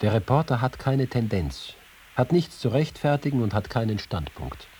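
A man's voice: mid-range voice, big pitch swings, normal speed, mellow, a little hiss or hum, natural voice. An actor playing a witty, boastful, combative reporter, reading his letters and writings for a radio feature. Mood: emphatic.

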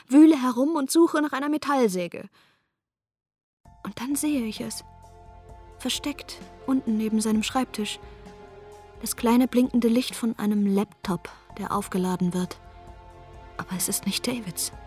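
An actress performing a radio drama monologue, a wife narrating in the first person, wry and exasperated. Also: female voice, a little high.

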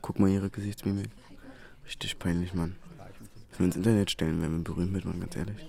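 Cheeky young lad in a radio play, talks really fast, slangy, mocking. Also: male voice, low-pitched, steady tone, vocal fry, warm tone. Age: young adult. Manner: slangy, muttering jibes. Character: cheeky, mocking young man in a rowdy clique. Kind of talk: naturalistic radio drama dialogue.

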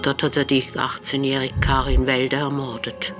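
An actor playing a brooding man, recounting a dark life story in a radio drama. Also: medium pitch, completely flat delivery, normal speed, lightly acted. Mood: grave, reflective. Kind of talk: radio documentary drama acting. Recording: music behind the voice.

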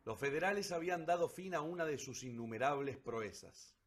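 A man narrating a literary story for radio theatre in a solemn, deliberate, dramatic way. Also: a little high, expressive.

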